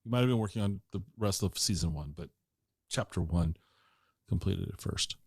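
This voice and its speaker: A man hosting an interview podcast, asking halting questions and restarting them often. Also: low-pitched, expressive, creaky, a little mellow, husky, no room sound, studio quality. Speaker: podcast host. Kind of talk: casual interview.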